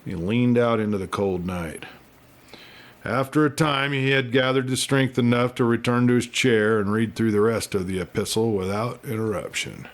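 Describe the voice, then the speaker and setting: Mid-pitched man narrating a story. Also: normal speed. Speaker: audiobook narrator. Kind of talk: dramatic scripted story narration.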